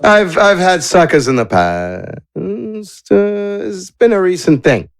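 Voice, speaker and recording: A man's voice: sits high, sing-song, slow pace, gravelly, pitched above the performer's own voice, full character voice. Man acting a cagey, swooping-voiced crook. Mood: smug, evasive. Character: cagey, defiant con artist criminal under interrogation.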